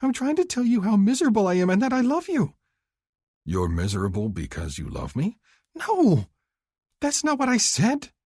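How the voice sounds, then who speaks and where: A man voicing a tearful young woman pleading her love, high and breathy as she weeps. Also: huge pitch swings, normal speed, a little mellow, no echo, clean studio sound, exaggerated voice. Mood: flustered. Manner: high, breathy, weeping delivery.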